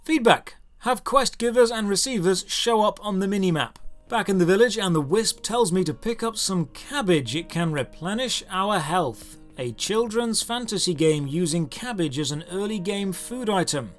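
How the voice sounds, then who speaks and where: A man narrating a scripted video game review as its host, with a dry, sardonic and deliberate way of putting things. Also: sits high, lively intonation, soft background music.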